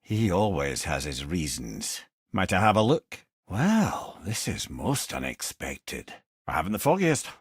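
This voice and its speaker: A man's voice: deep voice, big pitch swings, measured pace, breathy edge, no echo, studio quality, full character voice. An actor voicing a witty, learned old male sage and storyteller in a video game, with a Scottish accent and a wry, erudite way of talking. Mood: thoughtful, explaining.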